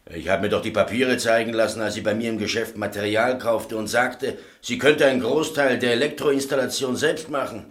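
A man's voice: mid-range voice, a little fast, few pauses, natural voice. A man playing a matter-of-fact electrician in a radio crime drama, explaining things precisely in short emphatic statements. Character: matter-of-fact male electrician and shop owner, master of apprentices.

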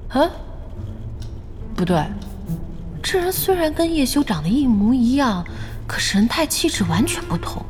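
An actress playing a bossy, warm-hearted, chatty young woman who runs an internet cafe in a radio drama, brisk and expressive. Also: high voice. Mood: flustered, puzzled.

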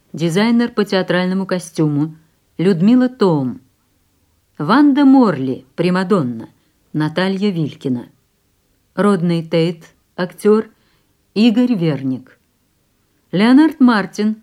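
A woman announcer reading radio play credits in a formal, measured manner. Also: mid-range voice, a little mellow.

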